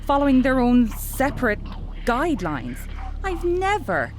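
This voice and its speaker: A woman playing a dedicated archeology professor in an audio horror drama, speaking in an articulate, measured, scholarly manner. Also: sits high, wildly swooping pitch, slight background noise, exaggerated voice. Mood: awed, entranced. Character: dedicated female archeology professor, affectionately commanding, increasingly entranced. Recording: music bed behind the voice.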